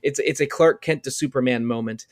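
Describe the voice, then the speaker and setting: A man chatting on a podcast panel about game music, enthusiastic and halting, often restarting and repeating words. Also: mid-range voice.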